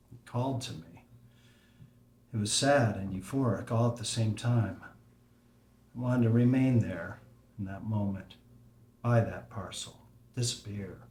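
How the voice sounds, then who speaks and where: An actor playing a wistful, adventurous older father who speaks softly and reflectively in a table read. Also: medium pitch, slight background noise, close to an everyday voice. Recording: roomy echo.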